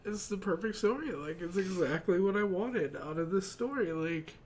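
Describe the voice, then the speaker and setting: A man's voice: sits high, animated, normal speed, a little mellow. A young man hosting an anime reaction at home, stuffed up from crying and rambling with lots of "like.". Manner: rambling, fills talk with "like". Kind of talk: emotional casual reaction chat. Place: small home room with shelves of figures.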